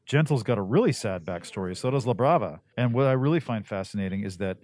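A middle-aged man with a deep bass-baritone voice, chatting as a voice-actor guest in a casual interview and leaping up in pitch to stress his points. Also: fast. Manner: animated, jumps up in pitch for emphasis.

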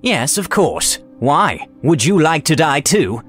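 A man voicing a sadistic, gloating evil wizard in a fantasy story, with a taunting, menacing, drawn-out delivery. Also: medium pitch, wildly swooping pitch, a bit bright, soft background music. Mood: sneering. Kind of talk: dramatized tabletop fantasy storytelling.

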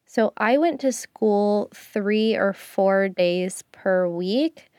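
A woman's voice: medium pitch, very slow, darkish tone, dry recording, clean studio sound. A woman talking casually and earnestly, giving advice on learning a language and building her points through repetition. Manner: earnest, explanatory, uses repetition for emphasis.

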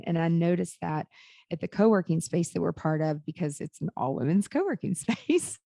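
A woman hosts a podcast in an upbeat, chatty way. Her voice is gravelly and mellow. The sound is dry and close. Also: fairly low pitch, dry recording. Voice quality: raspy. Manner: upbeat, chatty, says y'all. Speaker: podcast host. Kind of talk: casual interview chat.

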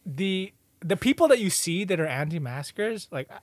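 A man's voice: high-pitched, sing-song, normal speed, dry recording. A young man telling a story in casual, slangy, sweary chat, acting out what people said as he goes. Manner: slangy, profane, acts out quotes conversationally. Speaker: podcast co-host telling a story.

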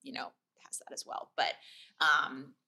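A woman's voice: very deep voice, level tone, unhurried, bright, forceful tone. A woman who does impressions for comedy, chatting casually as a podcast guest in a rambling, self-deprecating way.

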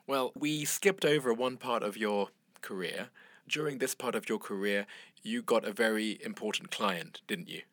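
A man hosting a podcast interview, asking relaxed follow-up questions. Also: lowish voice, moderate pace, no room sound, clean studio sound. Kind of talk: conversational interview.

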